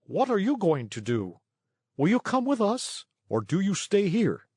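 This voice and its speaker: A man's voice: high-pitched, huge pitch swings, a little slow, darkish tone, husky, dry recording, studio quality, voice pushed up, heavily acted. A man voicing a sly, smiling little fat wagon driver in a storybook, with a small wheedling voice and sugary, polite manner. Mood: sweetly coaxing. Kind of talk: storybook character voice.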